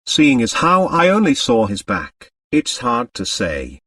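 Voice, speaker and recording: Hesitant, deferential English maidservant played by a male reader, audiobook, expressive pitch, dry acoustic. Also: unhurried, no echo, distant mic, full character voice. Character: cautious, unwilling English lady's maid, woman. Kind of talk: audiobook character dialogue.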